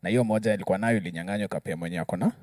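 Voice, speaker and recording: A man's voice: deep voice, rapid-fire, darkish tone, dry recording, studio quality. A Kenyan man giving explanatory advice in a panel discussion, working through examples and often checking that listeners follow. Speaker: musician panelist.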